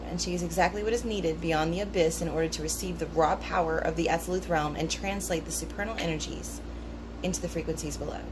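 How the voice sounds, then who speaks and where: A woman in a casual, rambling talk, part reading aloud and part musing, relaxed and thoughtful with frequent ums and pauses. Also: lowish voice. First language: English. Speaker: vlogger discussing esoteric teachings. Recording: noticeable background hum.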